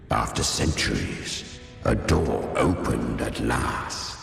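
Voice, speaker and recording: Ancient devouring beast: archaic, menacing game villain, slow heavy growl in long reverb. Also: male voice, very deep voice, full character voice. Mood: solemn, proud. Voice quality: gravelly, growling. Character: ancient demonic devouring beast, predatory and proud. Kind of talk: dramatic game voice acting. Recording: cavernous reverb with faint music.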